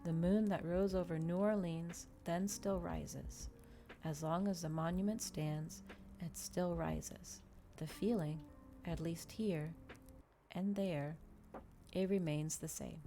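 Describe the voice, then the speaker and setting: Low, mellow woman reading softly, ASMR style. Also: steady tone, takes it slowly, a little hiss or hum. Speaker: streamer reading a novel aloud.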